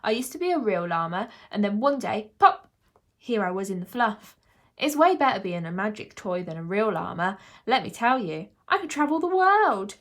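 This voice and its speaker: A woman's voice: mid-range voice, sing-song, moderate pace, a bit bright. A woman voicing a cheeky, boastful male toy llama in a children's audiobook, with a chatty, slangy manner.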